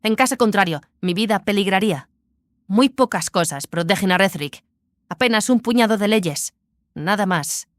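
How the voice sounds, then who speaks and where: An actress playing a guarded, sceptical receptionist in an audio drama, reserved and questioning. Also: female voice, medium pitch, expressive, normal speed, dry recording, clean studio sound, natural voice.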